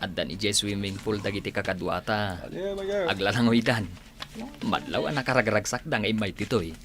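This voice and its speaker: A low male voice with an Ilocano accent, an actor playing an easygoing friend, over faint background ambience. He coaxes in a friendly, persuasive way, as in a radio drama. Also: normal speed, fairly bright tone, a little hiss or hum, close to an everyday voice. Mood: coaxing. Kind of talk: Filipino radio drama acting.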